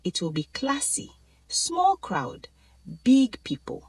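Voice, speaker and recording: A woman's voice: high voice, wildly swooping pitch, takes it slowly, darkish tone, no echo, pitched above the performer's own voice, heavily acted. A woman voicing a playful, coaxing female old school friend in a narrated drama story. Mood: enticing.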